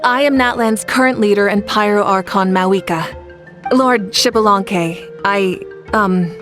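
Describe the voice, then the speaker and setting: A woman voicing a young female fire ruler in a video game, a composed, courteous leader with a measured, slightly formal manner. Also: medium pitch, a bit bright, faint music behind, lightly acted. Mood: polite, slightly awkward.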